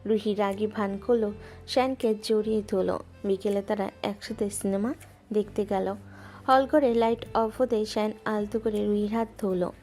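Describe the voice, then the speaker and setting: A woman gently narrating a scripted romantic audio story. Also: medium pitch, steady tone, moderate pace. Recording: music bed underneath.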